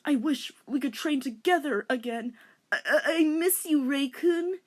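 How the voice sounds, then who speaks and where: A woman's voice: sits high, a little slow, pitched above the performer's own voice. A woman voicing a lovelorn, wistful male anime admirer in a melodramatic manner. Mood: pleading.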